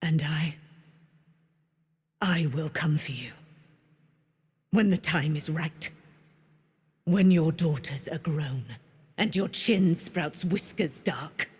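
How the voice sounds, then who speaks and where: An actress playing a proud, wrathful immortal warrior, daughter of a moon goddess, declaiming in grand archaic speech for a fantasy game. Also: female voice, sits low, slow, pauses often, slightly rough, no echo, clean studio sound, exaggerated voice. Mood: cold menace. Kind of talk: theatrical fantasy game voice acting.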